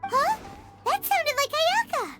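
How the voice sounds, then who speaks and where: Squeaky, tiny childlike girl sidekick. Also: full character voice. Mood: startled. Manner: chirpy, refers to herself in third person. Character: tiny childlike girl companion, cheerful and curious. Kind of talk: video game voice acting. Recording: music playing behind the voice.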